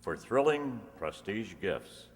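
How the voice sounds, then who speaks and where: A man playing a polished old-time radio announcer and pitchman, in a reverberant hall with an audience. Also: big pitch swings, takes it slowly. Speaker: show announcer. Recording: echoey hall with audience noise.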